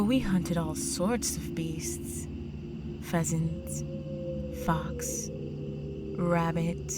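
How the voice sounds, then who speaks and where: A seductive vampire countess, acted by a woman for an intimate audio drama, speaks very slowly in a fairly low voice. She is aristocratic, possessive and condescending, teasing her prey and often sinking into breathy whispers. Prominent music plays under her words. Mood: savoring, menacing.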